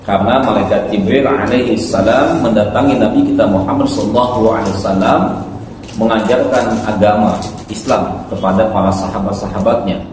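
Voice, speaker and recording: A man's voice: on the low side, brisk, a little mellow. A man giving an instructive Islamic lecture to a congregation as a preacher, often checking in with short tag words. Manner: didactic, with frequent "ya" tags and check questions. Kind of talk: religious lecture explaining hadith to a congregation. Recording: very echoey hall, distant mic, faint noise.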